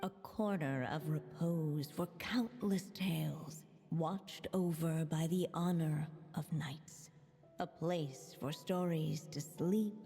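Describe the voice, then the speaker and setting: A solemn storyteller spirit of a magic book, in an anime game. The voice is low and poetic, delivered very slowly in a long reverb. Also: female voice, mellow. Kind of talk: anime game riddle narration. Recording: long hall reverb with music.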